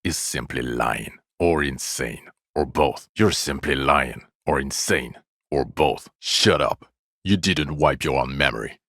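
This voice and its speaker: Very deep-voiced, bitter cop. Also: male voice, measured pace, vocal fry, a bit bright, no echo, full character voice. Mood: exasperated contempt. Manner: dry, cutting sarcasm, weary contempt. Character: bitter, exasperated, sarcastic male police detective. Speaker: video game voice actor. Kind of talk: dramatic game dialogue.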